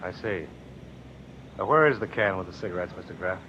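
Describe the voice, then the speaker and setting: An actor playing an earnest, firm, fatherly police lieutenant in an old-time radio drama, measured and deliberate. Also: low voice, expressive. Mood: calm, inquiring. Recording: muffled old radio recording, faint noise.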